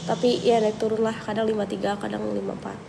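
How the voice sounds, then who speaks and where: A young woman with a cold, chatting casually with viewers on a livestream, relaxed and thinking aloud. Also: medium pitch, fast talker, gravelly. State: has a cold, coughing. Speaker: livestream host answering viewers. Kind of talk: casual live chat reading viewer questions. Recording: noisy livestream audio.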